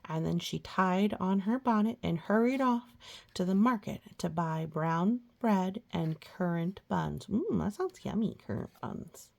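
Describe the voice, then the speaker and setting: A woman's voice: on the low side, a little slow, gravelly, darkish tone. A woman reading a children's picture book aloud as a storyteller, with chatty asides and childlike enthusiasm.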